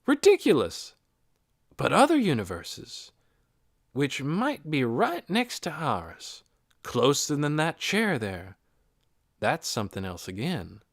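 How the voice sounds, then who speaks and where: A man's voice: on the high side, huge pitch swings, slow, gravelly, dry recording, studio quality, heavily acted. A man voicing an eccentric, secretive male astronomer in an audiobook, intense and emphatic, with clipped musing phrases. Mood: intense, scornful. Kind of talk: audiobook character voice.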